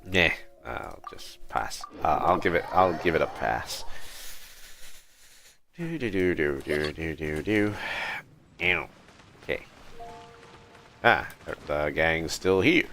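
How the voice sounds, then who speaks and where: Very deep-voiced, slow male game streamer. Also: expressive, vocal fry, pushed and bright. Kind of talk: casual livestream reactions to a game. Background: game music.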